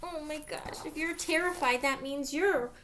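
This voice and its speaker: Lady with a high voice reading a kids book real slow, asks the little listeners stuff. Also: a little hiss or hum. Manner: expressive, addresses children with questions. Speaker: storyteller reading aloud to children. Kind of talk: picture-book read-aloud with asides to young listeners.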